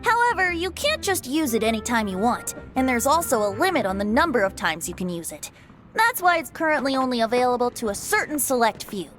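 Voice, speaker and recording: A woman playing a playful, teasing, boyish young bard in a video game, breezy and theatrical, with music behind. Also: sits high. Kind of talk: video game character acting.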